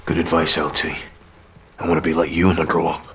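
An actor playing an irreverent special forces sergeant in a video game, with a Scottish accent and a blunt, sardonic manner. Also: male voice, rumbling low, completely flat delivery, fairly quick, fairly bright tone, slight background noise. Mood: wary. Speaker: soldier character.

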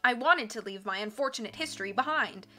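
A woman's voice: fairly high pitch, lively intonation, fast, bright, forceful tone, soft background music. A woman narrator voicing a weary castaway islander who earnestly warns of trouble.

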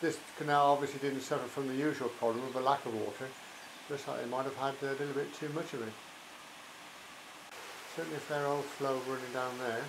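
A man's voice: on the high side, noisy background. A man narrating a walk outdoors, chatty and admiring, with wry asides. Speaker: walking vlogger narrating. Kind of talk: casual walking commentary. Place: outdoors by a rural estuary and canal.

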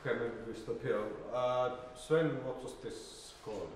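Slow, low-voiced man in an echoey room. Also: faint music behind. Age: middle-aged. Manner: halting, hesitant delivery. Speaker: presenter at a project seminar. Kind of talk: informal presentation with slide demonstration. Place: indoor seminar room with projector screen. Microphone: distant camera microphone. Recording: roomy, echoey, distant mic, faint noise.

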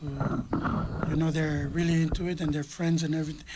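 Earnest, loud-voiced man guesting on radio. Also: on the high side, level tone, a little fast, very noisy. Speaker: choir member guest. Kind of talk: casual radio chat. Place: small radio studio. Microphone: table mic.

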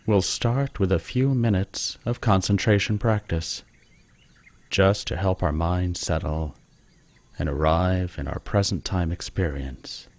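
A man leading a guided meditation with gentle, calm instruction. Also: low-pitched, no echo.

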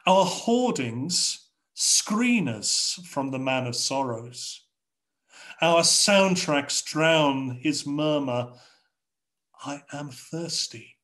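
A middle-aged man with an English accent preaching a sermon from a home study over a video call, in a measured, rhetorical way with repeated phrases. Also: on the high side, fairly bright tone. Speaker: pastor. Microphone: video call webcam.

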